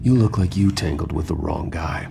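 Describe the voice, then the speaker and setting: A man's voice: extremely low pitch, moderate pace, gravelly, full character voice. An actor playing a stern, brooding wealthy man, bitter and righteous, speaking in terse, cold phrases. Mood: cold, accusing. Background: loud dramatic music.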